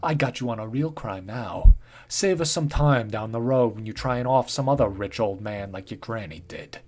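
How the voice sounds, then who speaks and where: A man's voice: normal speed, lightly acted. A man voicing a brutish, bullying male police officer who growls and barks. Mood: snarling. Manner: low growling, barking shouts. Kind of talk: storybook reading.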